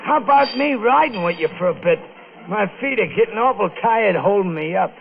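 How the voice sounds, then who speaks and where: A folksy American tramp, pipes up in a high, gravelly voice that swoops around. He is nosy and insistent, chattering in plain street talk like an old radio drama hitchhiker. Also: expressive, fairly quick. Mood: friendly, wheedling. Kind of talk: 1950s radio mystery acting. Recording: muffled old radio recording with music.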